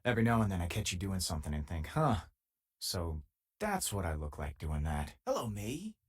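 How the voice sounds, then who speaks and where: A man voicing an easygoing, wry spacefaring crewmate in a video game, with a husky voice and a casual, conversational delivery. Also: low voice, very animated, normal speed, heavily acted. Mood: casual, friendly. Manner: casual, conversational, dryly joking. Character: easygoing, wry male spacefaring crewmate, loyal and self-deprecating. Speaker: game companion character. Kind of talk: video game voice lines, naturalistic acting.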